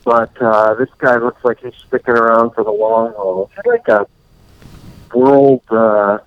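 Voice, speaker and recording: A man hosting a casual podcast, rambling through a recap and acting out scenes in quick put-on voices. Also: mid-range voice, no room sound, lo-fi sound. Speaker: podcast host.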